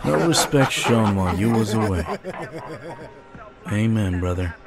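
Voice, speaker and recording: A man's voice: lowish voice, lively intonation, a little slow, over a noisy crowd. A man voicing an ambitious, cocky henchman who narrates a motion comic in hardboiled first person. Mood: hungry, proud.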